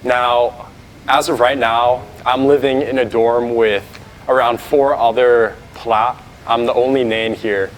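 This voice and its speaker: An earnest teenage boy talking casually and reflectively, often stopping to rephrase with "like" and "kind of". His voice sits at an ordinary middle pitch for a young man. A faint hiss of background noise runs under him. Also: steady tone, slow pace, poor audio. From United States. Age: teens. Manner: earnest, reflective, with many fillers and restarts. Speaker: novice monk sharing his experience. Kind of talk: casual talk to camera.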